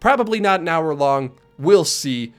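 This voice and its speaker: A man's voice: a little high, lively intonation, unhurried, faint music behind. A man with a head cold, sounding congested and nasal, talking casually through a video essay with rambling jokes and asides. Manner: rambling, joking asides, warm thanks.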